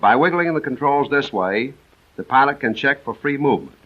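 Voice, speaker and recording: Muffled vintage film sound, American man, mid-pitched voice, scripted 1940s military training narration, measured, precise, instructional. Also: animated.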